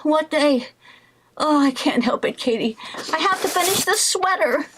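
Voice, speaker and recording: A woman's voice: high voice, lively intonation, moderate pace, background noise. A woman playing a frazzled, wry, weary housewife in 1940s radio comedy style, with dramatic exclamations. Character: frazzled, stubborn young housewife knitting late, wry and weary.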